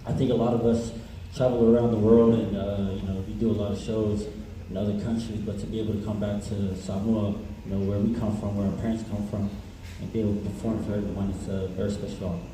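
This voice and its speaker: Low, flat-voiced man, very echoey. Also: quick pace, hardly any pauses, noisy background. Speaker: singer. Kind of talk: press conference answer. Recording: very echoey room, distant mic.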